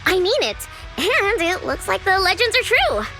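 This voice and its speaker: A woman voicing a bold, eager young gang fighter in a video game, blunt and forceful. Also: very high voice, big pitch swings, normal speed, over music, exaggerated voice. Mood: admiring, earnest.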